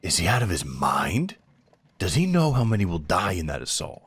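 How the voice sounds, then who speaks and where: Deep, husky werewolf right-hand man, acted by a man. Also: huge pitch swings, normal speed, fairly bright tone, exaggerated voice. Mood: indignant. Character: loyal, blunt, defiant werewolf right-hand man to a duke. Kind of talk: fantasy audio drama acting.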